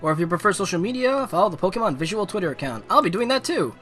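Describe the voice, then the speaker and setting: A man live-streaming a game, giving excitable, casual, slangy commentary and reading on-screen text aloud. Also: high-pitched, very fast, soft background music.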